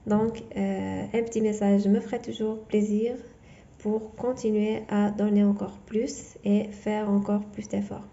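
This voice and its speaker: A woman whose first language is not French, giving a tarot reading in a halting way full of fillers and restarts. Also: mid-range voice, little pitch movement, creaky, mellow, dull audio. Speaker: tarot reader.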